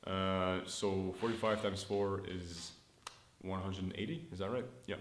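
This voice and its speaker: A young man talks in a fairly low voice, chatty and upbeat, thinking out loud and restarting his sentences. He records himself in a room that gives the sound a noticeable echo. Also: steady tone, a little slow, slight background noise. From Montreal, Canada. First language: French. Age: early 20s. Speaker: startup founder. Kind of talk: casual business vlog update. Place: indoor room, filmed on his own camera.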